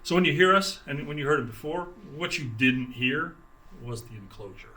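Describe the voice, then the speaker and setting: A man giving an informal product explanation in an interview as a sales director, speaking haltingly with restarted phrases. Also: fairly high pitch, lively intonation, sharp tone. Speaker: sales director for a loudspeaker maker. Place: exhibit room at an audio trade show.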